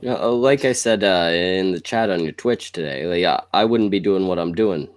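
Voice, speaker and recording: Host: man, lowish voice, dry sound, hesitant, lots of filler words. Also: dry recording. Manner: halting, many filler ums. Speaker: podcast host. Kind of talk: casual interview chat.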